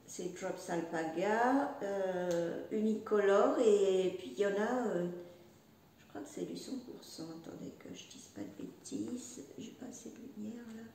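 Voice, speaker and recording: Slow, hesitant woman in an echoey room. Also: medium pitch, dark tone, distant mic, a little hiss or hum. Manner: hesitant, many "euh" fillers and restarts; rambling, chatty, self-deprecating. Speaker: knitting and crochet podcaster. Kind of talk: casual chat showing knitwear.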